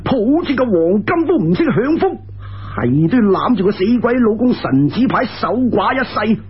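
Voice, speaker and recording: Man acting a sneering, raspy Cantonese street thug. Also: sits high, lo-fi sound, full character voice. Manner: taunting, menacing, crude. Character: sneering, bullying male street ruffian gang leader.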